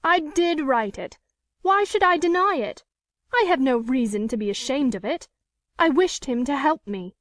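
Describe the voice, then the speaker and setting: Proud, indignant storybook lady, played by a woman narrator: very high pitch, formal, emphatic, close dry sound. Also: expressive, moderate pace, no echo. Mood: desperate, impassioned. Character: proud, defiant woman with an unhappy secret past. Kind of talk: dramatic audiobook character reading.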